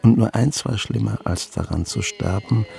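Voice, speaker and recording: An actor in a radio drama playing a weary, gruff, sardonic grandfather of seventy with a dry manner and a husky, gravelly voice. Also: lowish voice, normal speed, a little mellow. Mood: brooding, reflective. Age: 70s. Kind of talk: radio drama acting and monologue.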